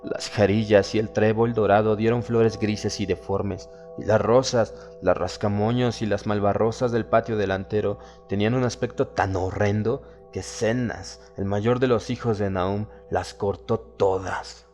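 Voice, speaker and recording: Low-voiced man narrating horror over music. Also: fairly even intonation, a little slow, few pauses, a little mellow. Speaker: audiobook narrator. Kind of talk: dramatic horror audiobook narration. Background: background music.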